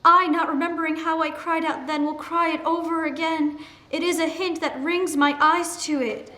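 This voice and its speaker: Woman acting high, bright Shakespearean young daughter. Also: fairly even intonation, moderate pace. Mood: distressed, pitying. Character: innocent, attentive young daughter of an exiled duke. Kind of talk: Shakespearean dramatic reading. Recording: echoey room.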